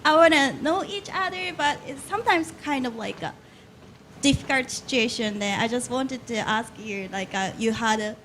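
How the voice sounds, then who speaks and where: High-voiced Japanese woman, hesitant. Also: normal speed, fairly bright tone, slight background noise. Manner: hesitant, many fillers. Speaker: audience member.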